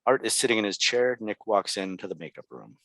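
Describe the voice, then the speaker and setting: Lowish, dry-sounding male narrator. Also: sing-song, fairly quick, a bit bright, no echo. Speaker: narrator reading stage directions. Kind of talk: scripted narration at comedy table read.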